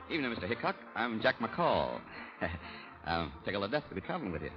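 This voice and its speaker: An actor playing a fawning, giggly frontier hanger-on with an ingratiating drawl in a vintage radio drama. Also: male voice, on the low side, big pitch swings, moderate pace. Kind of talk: 1930s radio acting. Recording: muffled vintage radio recording, music behind.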